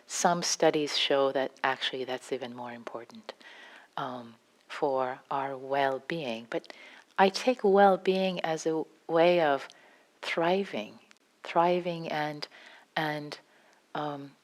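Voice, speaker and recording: A woman with a Farsi accent, a meditation teacher giving an unscripted dharma talk in a gentle, halting way with many restarts. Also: low-pitched. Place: meditation hall.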